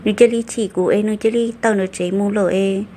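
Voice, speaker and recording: A woman's voice: medium pitch, steady tone, quick pace, mellow, a little hiss or hum, natural voice. A woman voicing a female character in a family drama cartoon, in dramatic dialogue. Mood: conversational.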